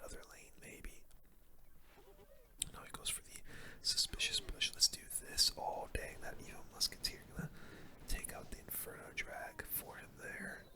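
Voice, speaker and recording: A video game streamer giving casual ASMR gameplay commentary in a soft, breathy whisper, with relaxed gamer slang. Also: very high-pitched. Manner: casual gamer slang, murmured reactions to play.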